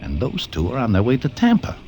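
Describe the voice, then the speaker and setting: An actor playing a cool, lovesick small-time crook with stilted, formal street talk, in 1940s radio style. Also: male voice, mid-range voice, wildly swooping pitch, fairly quick, darkish tone, slight background noise. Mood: cool scheming. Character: smooth-talking, lovesick small-time crook, coolly unflappable.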